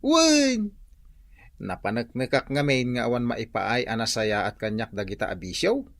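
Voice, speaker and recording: Casting: jokey, frank Ilocano everyman for radio comedy, bright forceful voice, swooping pitch, boastful. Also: very animated, moderate pace, creaky, no room sound. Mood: earnest.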